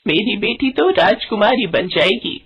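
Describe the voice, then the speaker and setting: A scheming old servant woman, put on by a woman narrator, drawing out her words slowly and slyly. She sounds greedy and spiteful, coaxing others into her plot in a storybook tale. The tone is a little higher than the narration. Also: lively intonation, muffled recording, lightly acted. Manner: slow, sly and coaxing.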